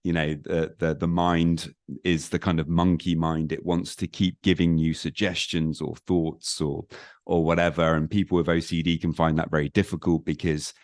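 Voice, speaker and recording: A man hosting a conversational podcast interview, speaking haltingly and circling back over his words. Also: low-pitched, little pitch movement, darkish tone, no echo, studio quality. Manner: halting, rambling with repeated words. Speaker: podcast host.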